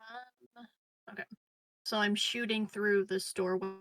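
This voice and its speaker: A woman's voice: mid-range voice, steady tone, slow, fairly bright tone, no echo, soft background music. A woman player in a tabletop roleplaying game, thinking aloud through a turn in a casual way.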